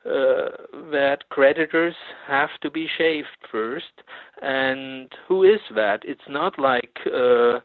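A man's voice: mid-range voice, no room sound. Man talking over a tinny phone line. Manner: halting, many fillers and restarts. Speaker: guest author. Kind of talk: phone interview.

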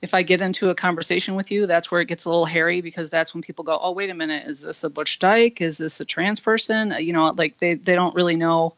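Guest comedian, man, husky voice, frank and earnest; flat delivery, lo-fi dry sound. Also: a little high, quick pace, few pauses, no room sound. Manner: earnest, frank, with frequent fillers. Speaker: interview guest. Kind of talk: candid interview talk. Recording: dull lo-fi dry line.

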